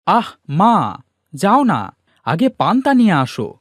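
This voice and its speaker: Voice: medium pitch, wildly swooping pitch, slow pace, no room sound, clean studio sound, full character voice. A performer voicing a good-hearted, humble village boy in a cartoon, eager and prone to exclaiming. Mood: earnest. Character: good-hearted, humble poor village boy who loves simple food.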